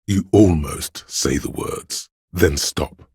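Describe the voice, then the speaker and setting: A man voicing a policeman's inner instinct in a video game, narrating in the second person with grave, measured, dryly ironic delivery and a gravelly, husky tone. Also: very deep voice, dark tone, dry recording, clean studio sound, exaggerated voice. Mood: wry, foreboding.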